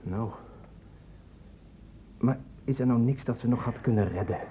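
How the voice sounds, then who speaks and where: A man's voice: mid-range voice, measured pace, dark tone, close to an everyday voice. An actor playing an indignant young man in a radio play, speaking for his friends with insistent, challenging questions. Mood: accusing. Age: young adult. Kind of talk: Dutch radio drama acting. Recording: muffled, narrow-band old radio recording, noisy.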